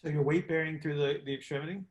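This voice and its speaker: A man's voice: a little high, fairly even intonation, highs cut off. A man explaining things informally on a group call as host and coach, often repeating his words and checking for agreement. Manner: halting, repeats words, asks checking questions.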